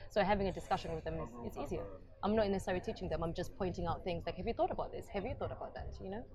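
A woman's voice: fairly low pitch, rapid-fire, a crowd in the background. A woman in her twenties from Zimbabwe and London, talking reflectively in a casual interview. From Zimbabwe, moved to London at eleven. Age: 20s. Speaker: podcast guest, teacher.

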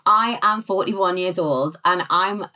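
A woman's voice: fairly low pitch, takes it slowly, bright, forceful tone. A woman in her forties, a guest in a casual chat, talking in a chatty, self-mocking way and laughing easily. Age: 40s.

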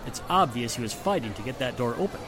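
An actor playing a matter-of-fact, assertive police inspector in the style of a 1940s radio mystery. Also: male voice, mid-range voice, animated, fast talker, crowd noise, natural voice. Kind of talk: 1940s radio drama acting. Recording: music and street sounds behind the voice.

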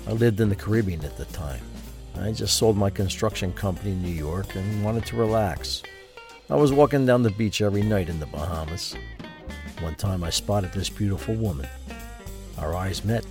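A man's voice: low-pitched, animated, normal speed. A man playing an easygoing, slightly smug semi-retired businessman in an audio drama. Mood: reminiscing. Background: music.

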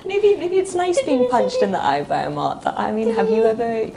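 A woman's voice: fairly high pitch, huge pitch swings, normal speed, vocal fry, background noise. A woman guesting on a podcast, chatting in a giggly, enthusiastic, rambling way. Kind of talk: casual comedic chat.

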